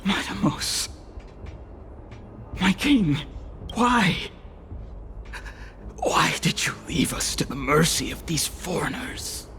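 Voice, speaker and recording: High-voiced, impassioned proud soldier. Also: male voice, very animated, slow pace, long pauses, sharp tone, slight background noise, full character voice. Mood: anguished. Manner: earnest, impassioned. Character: proud, defiant doomed soldier seeking vengeance. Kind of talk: dramatic game voice acting.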